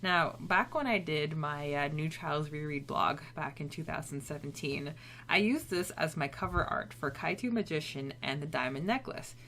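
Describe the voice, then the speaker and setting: A woman's voice: low-pitched, moderate pace, a bit bright, slight background noise. A woman co-hosting a podcast, chatting casually about fiction. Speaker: podcast co-host.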